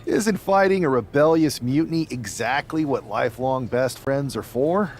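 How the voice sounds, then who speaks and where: Actor as earnest, animated male pro wrestler. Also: medium pitch, normal speed, slight background noise, close to an everyday voice. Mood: earnest, persuasive. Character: earnest male pro wrestler rallying a lifelong best friend. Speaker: video game voice actor. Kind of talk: cinematic game dialogue, casual.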